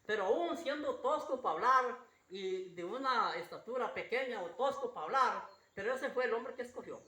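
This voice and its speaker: A preacher with a slightly husky voice gives an informal Bible sermon in a halting, repetitive, homespun way. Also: mid-range voice, animated, pushed and bright. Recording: roomy echo with faint background noise.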